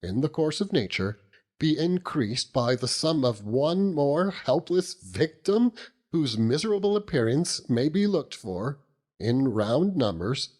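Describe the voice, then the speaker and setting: A man voicing a pompous, theatrically gloomy middle-aged gentleman in debt, in florid phrases, for an audiobook. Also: mid-range voice, very animated, unhurried, pitched above the performer's own voice. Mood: grandly gloomy. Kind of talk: audiobook character reading.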